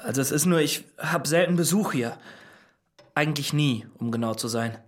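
A man's voice: a little high, normal speed, natural voice. A man playing a socially awkward young maths student, hesitant and nervous, in a naturalistic radio drama. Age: young adult.

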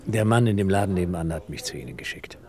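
Earnest, low-voiced suspected director. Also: male voice, expressive, fast talker, creaky, darkish tone. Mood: earnest, probing. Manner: earnest, probing. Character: troubled theatre director under suspicion, doggedly investigating. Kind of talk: German radio drama acting.

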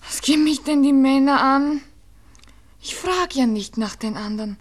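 A woman's voice: high-pitched, normal speed, close to an everyday voice. An actress playing an earnest, wounded young Viennese girl in love, pleading in a radio drama. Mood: passionate, pained. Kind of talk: 1960s German radio drama acting.

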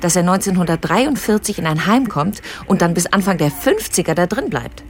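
Low-voiced, weary, insistent young woman. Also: big pitch swings, fairly quick. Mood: probing. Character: tired, probing young woman challenging family secrets. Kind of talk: naturalistic radio drama phone dialogue.

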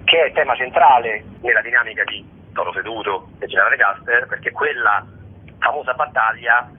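A man speaks as a guest in a radio interview, his voice husky and slightly clouded. He talks in an expressive, discursive storyteller's way, turning to rhetorical asides. The sound is narrow and thin, like a telephone call on air. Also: medium pitch, brisk. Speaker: guest, stage actor and storyteller.

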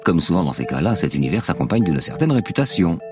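A man's voice: low-pitched, sing-song, fast talker. A man narrating a scripted radio documentary as its host, telling the story dramatically and posing rhetorical questions. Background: music under the voice.

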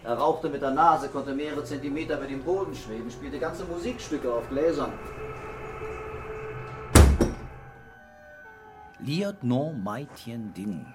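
An actor playing a wry, deliberate French police commissioner in a German radio crime drama. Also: male voice, medium pitch, expressive, over music, natural voice. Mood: businesslike, wry.

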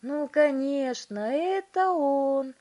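A woman voicing an excitable, theatrical male inventor in a children's story, speaking in emphatic, drawn-out phrases. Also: medium pitch, pitched above the performer's own voice. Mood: excited, urgent.

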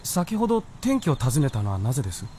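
An actor playing a polite, anxious young shape-shifting fox in human form, speaking earnestly in a radio drama. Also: male voice, medium pitch, huge pitch swings, warm tone, slight background noise. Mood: curious, polite. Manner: earnest, polite, quick to exclaim. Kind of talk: Japanese radio drama acting.

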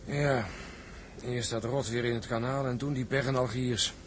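An actor playing a weary, grumbling ship's commander in a radio drama. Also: male voice, medium pitch, fairly even intonation, normal speed, slight background noise, close to an everyday voice.

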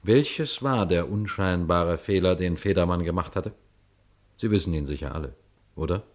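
A low male voice of an actor plays a shrewd police detective in a radio crime drama. He speaks deliberately and pointedly, unhurried as he lays out his case and turns directly to his listeners. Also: lightly acted. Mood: calm, explanatory. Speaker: detective and narrator. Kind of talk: German radio crime drama acting and narration.

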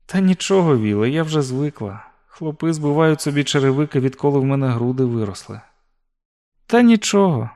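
Man putting on a high-voiced spirited country girl. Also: lively intonation, normal speed, warm tone, no room sound, studio quality. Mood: friendly, curious. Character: spirited, curious young country girl, guarded and tart.

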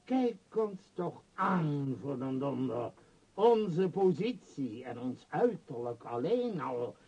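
A man's voice: a little high, very animated, takes it slowly, darkish tone, breathy edge, muffled recording, exaggerated voice. An actor in a radio play voicing a vain, powerful middle-aged male tycoon with a pompous, emphatic, scornful manner. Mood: arrogant persuasion. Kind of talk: radio drama acting.

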